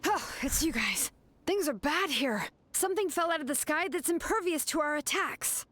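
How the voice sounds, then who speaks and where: A woman playing a serious, dutiful young female warrior in a video game, earnest and measured in her delivery. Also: sits high, animated, fairly bright tone, dry recording. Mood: urgent, resolute. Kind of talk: dramatic video game voice acting.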